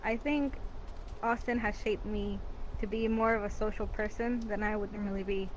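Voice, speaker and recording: A young woman from Mexico, Spanish her first language, talks casually as an interview guest and leans on "like" as she speaks. Her voice sits at a middle pitch. A clear layer of noise runs under her. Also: steady tone, moderate pace, highs cut off. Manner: chatty, filler-heavy with "like".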